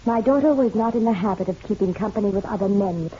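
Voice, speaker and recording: A woman's voice: mid-range voice, quick pace, dark tone, natural voice. An actress playing a genteel, proud middle-aged mother in a radio drama, poised and arch with a bitter edge. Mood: bitter, firm. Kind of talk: noir radio drama acting. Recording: dull, slightly noisy radio-drama recording.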